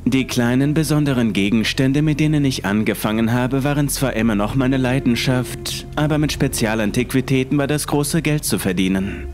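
A man's voice: medium pitch, normal speed, hardly any pauses, darkish tone. A man reading a first-person horror story as scripted narration. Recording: faint music underneath.

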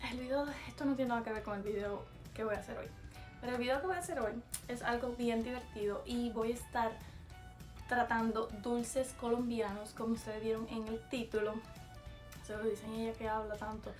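A woman's voice: a little high, fairly even intonation, moderate pace, a little mellow, soft background music. A young woman with a Cuban Spanish accent, chatting casually to camera in a small bedroom and slipping English words into her talk. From Cuba. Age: young adult. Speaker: vlogger. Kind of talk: casual vlog, taste test.

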